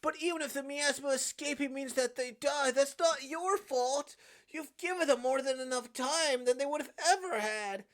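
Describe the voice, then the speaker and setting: A woman voicing an anguished young man in a visual novel read-aloud, protesting loudly and in agitation. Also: sits high, normal speed, voice pushed up, close to an everyday voice. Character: anguished young man refusing to kill his beloved.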